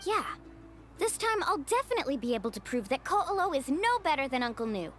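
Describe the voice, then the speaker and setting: A woman's voice: high voice, sing-song, a little fast, faint music behind. A woman playing a cheerful, professional young tour guide in an anime-style video game. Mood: bubbly, assured.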